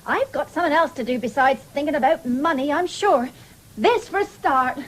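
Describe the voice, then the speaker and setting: A woman's voice: high voice, moderate pace, close to an everyday voice. An actress playing an earnest, nagging young country mother in a British radio drama, urging and reproachful. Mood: exasperated scolding.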